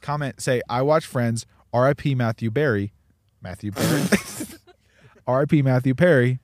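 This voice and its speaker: A man's voice: mid-range voice, moderate pace, dry recording, clean studio sound. A man in his twenties co-hosting a casual podcast from a parked car, laughing often and tripping over his words. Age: 20s. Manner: giggly, trips over words. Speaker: podcast co-host.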